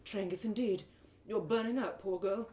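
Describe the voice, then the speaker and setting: A woman voicing a caring woodland healer woman in a storybook, firm, concerned and gently commanding. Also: mid-range voice, moderate pace, lightly acted. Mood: concerned, pitying. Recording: roomy echo.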